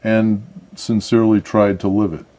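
Low, mellow-voiced older man from Michigan. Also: little pitch movement. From Michigan, USA. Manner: measured, reflective storytelling. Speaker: guest. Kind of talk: casual interview chat.

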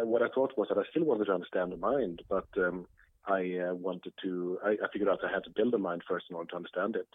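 A man with a Swedish accent speaks as an interview guest, his voice sitting on the low side. He talks in a halting way, with frequent fillers and restarts. The sound is dry and squeezed into a narrow, phone-like band. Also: dry recording. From Sweden. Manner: hesitant, many fillers and restarts. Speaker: guest, computer science professor. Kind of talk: conversational interview. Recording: tinny phone-like line.

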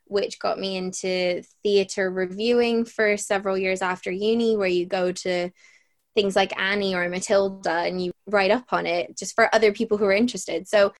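A woman's voice: mid-range voice, fairly even intonation, moderate pace. A young woman from Ireland with a mixed Irish accent that comes and goes, chatting casually as an interview guest and laughing often as she talks. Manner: chatty and giggly, often laughing mid-sentence.

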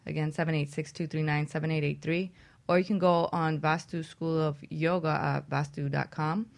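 Casting: woman radio co-host, deep for a female voice, with vocal fry, talking casually. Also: level tone, moderate pace. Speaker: co-host and yoga teacher.

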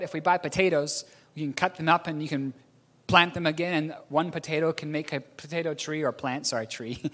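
A man's voice: sits high, fairly bright tone. A man from New Zealand preaching a storytelling sermon, rambling a little and correcting himself with a chuckle. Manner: rambling, self-correcting, chuckles at own slips. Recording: long hall reverb.

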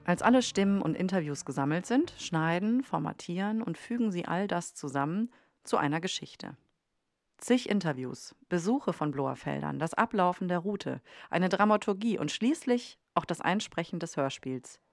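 A woman's voice: on the low side, lively intonation, moderate pace, dry recording, studio quality. A woman narrating a documentary podcast from a script.

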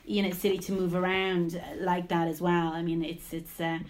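Woman, podcast host; slightly low, upbeat interviewer. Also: level tone, normal speed, a little hiss or hum. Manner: enthusiastic, friendly, repeats words when starting.

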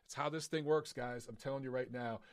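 A man hosting a live boxing call-in podcast, emphatic and opinionated, his voice going hoarse. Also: medium pitch, steady tone, a little fast. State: losing his voice.

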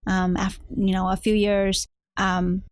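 A woman's voice: mid-range voice, completely flat delivery, takes it slowly, dry recording, clean studio sound. A woman in her thirties with an American accent, giving conversational answers as an interview guest. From United States, New Jersey and New York area. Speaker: interview guest, venture capitalist.

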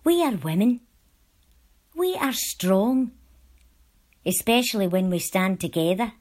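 A woman reading personal letters aloud from a script in a measured, earnest way. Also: medium pitch, big pitch swings, darkish tone. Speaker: volunteer reader.

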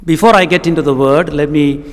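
A middle-aged man from Kerala, India, with a Malayali Indian accent and Malayalam as his first language, preaching a sermon as a guest, halting with frequent ums. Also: fairly high pitch. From Kerala, southern India. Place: church with long reverb. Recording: reverberant church hall.

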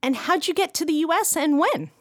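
A woman's voice: high voice, expressive, a little fast, dry recording, clean studio sound. A young woman in her twenties hosting an interview, admiring and enthusiastic in her introduction and questions. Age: 20s. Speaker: interview host.

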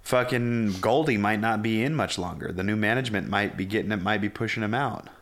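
A blunt man co-hosting a podcast, speaking in a slightly low voice. He delivers opinions emphatically and peppers them with swearing. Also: fairly quick, a bit bright. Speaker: podcast co-host. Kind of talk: casual chat.

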